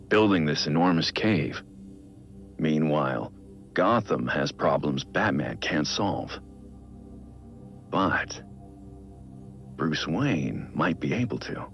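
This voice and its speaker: Brooding vigilante in a game audio log: extremely low, gravelly, weary and deliberate, muffled recording over music. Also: male voice, full character voice. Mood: weary, self-reflective. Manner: deliberate, understated. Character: brooding, weary billionaire vigilante man, measured and introspective. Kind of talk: video game audio log, reflective monologue.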